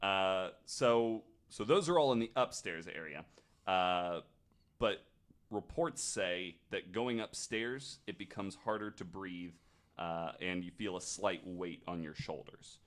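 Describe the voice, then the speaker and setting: Deep-voiced man telling ghost stories casually. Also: takes it slowly, fairly bright tone. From United States. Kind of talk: casual storytelling chat.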